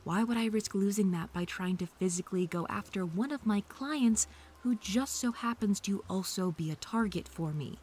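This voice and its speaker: A woman's voice: medium pitch, moderate pace, a little mellow, slightly rough, natural voice. A woman playing a snarky, defensive female hacker under interrogation in an audio drama, sarcastic and indignant.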